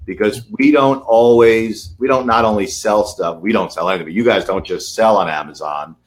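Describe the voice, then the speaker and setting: A man's voice: lowish voice, normal speed. A man with a New York accent hosting a webinar in a casual, emphatic way, often repeating words and checking in with "okay". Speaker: webinar host, lawyer.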